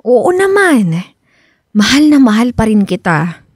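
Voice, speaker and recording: A woman's voice: on the high side, very animated, a little slow, slightly rough, dry recording, studio quality, heavily acted. A woman acting a young, defensive but loving girlfriend in a Filipino radio drama, emotional and conversational. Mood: soft, apologetic.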